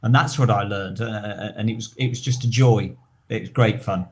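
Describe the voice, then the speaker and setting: A man co-hosting a podcast, chatting casually and earnestly in a halting way that often repeats words. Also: on the low side, fairly even intonation, a little hiss or hum. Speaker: podcast co-host. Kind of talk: casual chat.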